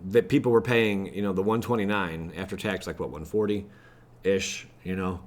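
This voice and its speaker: Low-pitched American man, rambling casually. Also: steady tone, moderate pace. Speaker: gaming channel host. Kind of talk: casual livestream chat, reacting to web pages.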